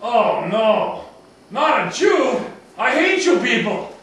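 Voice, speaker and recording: Man playing a pompous, high-pitched puppet villain. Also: big pitch swings, takes it slowly, a bit bright, exaggerated voice. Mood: outraged, sneering. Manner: pompous, sneering, theatrical. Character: cruel, scheming male villain, pompous second-in-command to a king. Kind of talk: amateur puppet show acting for children. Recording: echoey room, distant mic, background noise.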